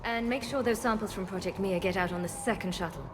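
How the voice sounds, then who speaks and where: An actress playing a former research scientist leading refugees, speaking in a measured, earnest way. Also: female voice, mid-range voice, background noise, lightly acted. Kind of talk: video game voice acting.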